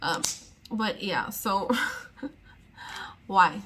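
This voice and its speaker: A young woman talks straight to the camera in a loose, rambling way, often trailing off and restarting. She sounds chatty and easily exasperated, with a mid-range voice of ordinary pitch. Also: extremely slow, a bit bright, slight background noise. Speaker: beauty vlogger. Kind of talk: casual sit-down chat to camera.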